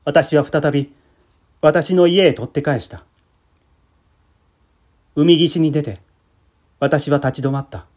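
A man's voice: fairly high pitch, steady tone, slow pace, pauses often, darkish tone. A man reading a literary short story aloud as a dramatic narration. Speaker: amateur theatre troupe leader reading aloud. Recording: music bed behind the voice.

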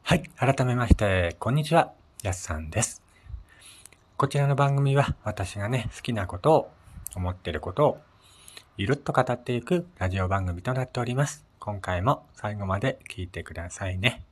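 A man's voice: fairly low pitch, lively intonation, normal speed, slightly rough. A man hosting his own audio show alone, chatting casually in a polite, conversational way. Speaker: host of a personal audio show. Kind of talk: casual solo radio-style chat.

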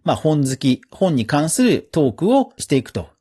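A man's voice: a little high, very animated, normal speed, dark tone, slightly rough. A man hosting a podcast, giving chatty, casual commentary on the news in a conversational way. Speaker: podcast host.

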